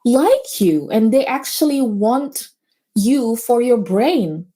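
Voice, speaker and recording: Mellow-voiced woman, earnest guest. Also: on the high side, big pitch swings, slow. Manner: earnest, motivational, slightly non-native grammar. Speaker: business owner, guest. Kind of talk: casual interview chat.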